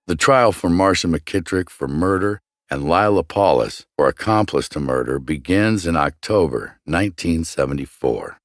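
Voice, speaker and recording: A man narrating a scripted true crime documentary as its host, with a measured, dramatic storytelling delivery. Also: extremely low pitch, dry recording, clean studio sound. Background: background music.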